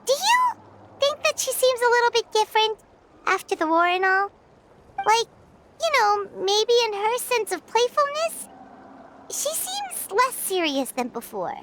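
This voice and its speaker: A woman voicing a tiny, excitable fairy companion in a video game, with a squeaky childlike voice and an exclamatory, chatty manner. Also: unhurried, slight background noise, full character voice. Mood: curious. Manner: speaks of herself in third person, exclamatory. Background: music.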